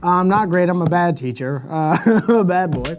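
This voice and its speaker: A man's voice: sits high, moderate pace. A man improvising a casual phone chat as a prank caller, rambling, apologetic and self-deprecating. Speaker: prank caller on a comedy show. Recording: music playing behind.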